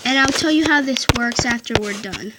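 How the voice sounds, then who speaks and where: A woman narrating a casual game tutorial into a headphone mic, often stopping to correct herself. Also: a little high. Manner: halting, frequent self-corrections and restarts. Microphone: headphones with built-in mic. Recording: loud background noise on headset mic.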